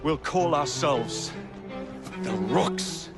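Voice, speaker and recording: A man's voice: on the high side, animated, very slow, heavily acted. An actor playing a cocky, impulsive young Englishman, a brash and flippant assassin in a Victorian video game. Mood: brash, scheming. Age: young adult. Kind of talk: dramatic video game acting. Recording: loud music behind the voice. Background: loud music.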